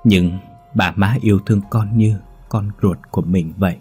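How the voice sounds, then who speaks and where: A young wayward son cries out and begs through his sobs, voice straining high and loud, as a male storyteller acts him out. The voice underneath is husky and low. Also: fairly even intonation, moderate pace, soft background music. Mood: sobbing. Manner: pleading, sobbing cries. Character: young man, wayward son, desperate and grieving.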